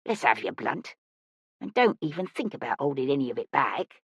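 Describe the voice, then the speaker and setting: A woman voicing a menacing young male street bully in an audiobook, mocking and rough-spoken. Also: extremely low pitch, very animated, normal speed, no echo, dull audio, pitched below the performer's own voice, full character voice. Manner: taunting, rough street talk.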